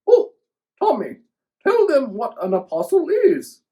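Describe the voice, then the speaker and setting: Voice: sits high, wildly swooping pitch, a little slow, mellow, exaggerated voice. A performer voicing an inquisitive, slightly prim female puppet co-host who asks questions and reacts with exaggeration in a scripted children's show. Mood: prompting.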